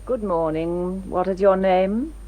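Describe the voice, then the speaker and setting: An actress playing a composed, professional woman doctor in a radio drama, speaking in a measured, polite way. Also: medium pitch, warm tone, lightly acted. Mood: composed, brisk. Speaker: actor.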